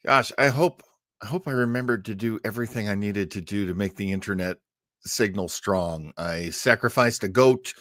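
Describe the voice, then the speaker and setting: A man's voice: lowish voice, normal speed, a bit bright, no echo. A man hosting a casual livestream about old time radio, chatting in a rambling, jokey, self-deprecating way on a close microphone. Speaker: host of an old time radio show program.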